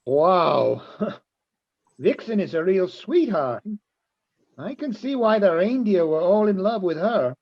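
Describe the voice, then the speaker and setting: Muffled, high-voiced genial barroom man, acted. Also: very animated, slow, long pauses, exaggerated voice. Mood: smitten, amazed. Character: genial beer-drinking man in a bar. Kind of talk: reader's theatre radio play acting. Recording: dull, muffled recording.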